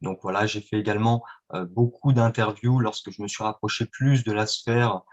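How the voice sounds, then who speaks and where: Hesitant low-voiced man, lo-fi sound. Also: fairly even intonation, moderate pace. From France and Italy. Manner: hesitant, many fillers and restarts. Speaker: guest, independent trader. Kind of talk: interview answers. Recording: dull lo-fi sound.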